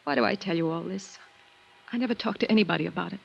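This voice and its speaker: An actress playing a wistful widow in a 1950s radio drama, speaking in a hesitant, intimate, melodramatic way. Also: female voice, medium pitch, fast talker, vocal fry, husky. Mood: wistful, confiding. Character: wistful, confiding young widow who drinks. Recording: dull old radio recording, music behind.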